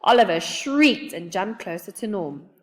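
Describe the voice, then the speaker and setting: A woman reading a children's story aloud in an expressive narrating style. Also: mid-range voice, measured pace, long reverb tail. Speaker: storybook reader.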